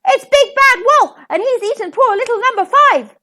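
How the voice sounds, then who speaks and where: An excitable storybook mother duck, put on by a woman. She speaks dramatically in a high voice that rises and falls sharply. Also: a little fast, exaggerated voice. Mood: outraged. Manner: dramatic, exclaiming.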